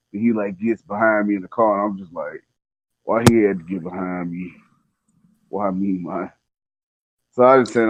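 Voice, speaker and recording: A man's voice: lowish voice, no echo, narrow, tinny audio. A man telling a personal story in casual slang, laid-back and conversational, acting out the dialogue as he goes.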